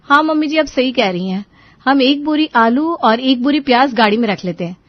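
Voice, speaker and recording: A woman's voice: sits high, expressive, normal speed, no echo, dull audio, voice pushed up. A woman voicing a harried, outspoken young housewife in a cartoon family story. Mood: agreeable. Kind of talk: cartoon family drama acting.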